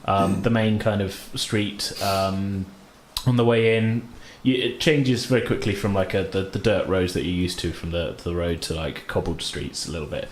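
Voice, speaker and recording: A man improvising narration as a tabletop game master in an informal, halting way. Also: low-pitched. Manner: halting, informal, hedging descriptions. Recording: roomy, light background noise.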